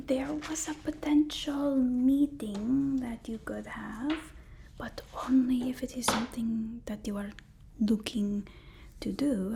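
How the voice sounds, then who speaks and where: A woman playing a deferential, flustered personal assistant to a supervillain in a halting, whispered ASMR roleplay. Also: a little high, a little hiss or hum. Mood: placating, hesitant. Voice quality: soft, breathy whisper.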